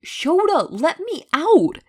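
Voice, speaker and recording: A woman voicing a hot-tempered man who is loud, demanding and dramatic in an audio story. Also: extremely high pitch, sing-song, slow, pitched above the performer's own voice, exaggerated voice. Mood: alarmed, demanding.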